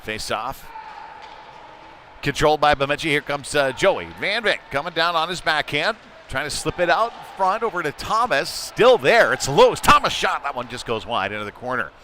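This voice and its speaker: A man's voice: fairly high pitch, moderate pace, noisy background. A man giving informal, conversational play-by-play commentary on an amateur hockey game. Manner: conversational and informal, excited when play heats up. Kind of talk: live amateur sports commentary.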